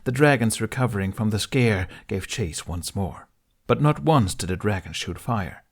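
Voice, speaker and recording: A man with a fairly low voice narrates an adventure audiobook. The delivery is scripted and dramatic, in the manner of a storyteller. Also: lively intonation, moderate pace.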